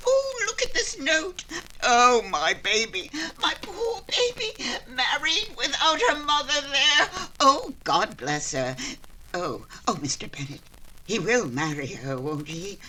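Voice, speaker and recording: A woman's voice: on the high side, sing-song, slow, pushed and bright, exaggerated voice, rough recording. An actress playing a fretful, comically distraught middle-aged mother in old-time radio style, wailing and exclamatory. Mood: tearful, distraught. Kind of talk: old-time radio comedy-romance acting.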